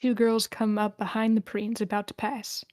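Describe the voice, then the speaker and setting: Woman, mid-pitched, scripted narration, screenplay directions, close dry sound. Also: flat delivery, moderate pace, a little mellow, no room sound. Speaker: narrator reading stage directions. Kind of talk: scripted narration at a table read.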